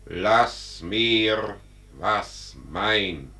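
An actor playing Death as a solemn, implacable, commanding spirit in a 1940s radio drama. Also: male voice, lowish voice, very slow, pushed and bright, full character voice. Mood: stern, unyielding. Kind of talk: 1940s German theatrical radio acting.

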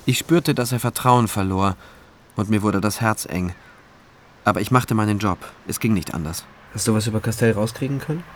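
A man's voice: lowish voice, normal speed, a little hiss or hum, natural voice. A man playing a weary, dutiful state prosecutor, narrating soberly and introspectively in a radio drama. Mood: heavy-hearted. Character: weary, dutiful male state prosecutor telling his story. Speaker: narrating lead actor. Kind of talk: first-person radio drama narration and dialogue.

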